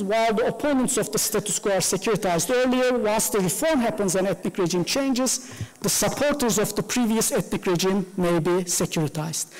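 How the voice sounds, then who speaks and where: A man's voice: sits high, in a large hall, low-quality recording. A man whose first language is Turkish, giving an academic lecture at a lectern with many fillers. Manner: gestures while talking, frequent fillers. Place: lecture room. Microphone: lectern mic, far camera.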